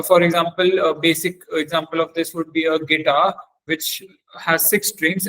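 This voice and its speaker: A man presenting an explanatory technical lecture as a trainer, in a hesitant, halting way with frequent fillers and repeated words. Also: fairly high pitch, a bit bright, rough recording.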